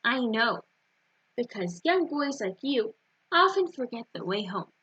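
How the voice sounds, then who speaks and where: A woman's voice: on the high side, big pitch swings, measured pace, pushed and bright, breathy edge, exaggerated voice. A woman voicing a wise, kindly male village rabbi in a children's story, assured and instructive. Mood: knowing. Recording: dull, roomy lo-fi recording.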